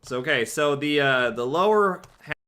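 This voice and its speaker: A man's voice: on the high side, lively intonation, moderate pace, pushed and bright. A man giving sarcastic, joking let's play commentary while playing a game. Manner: sarcastic, dry jokes about the game. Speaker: game streamer.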